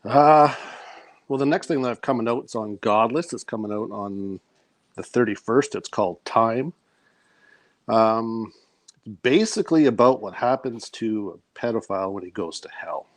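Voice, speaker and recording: A man's voice: lowish voice, normal speed, dry recording. A man who is an author and podcast guest, chatting casually about his own work.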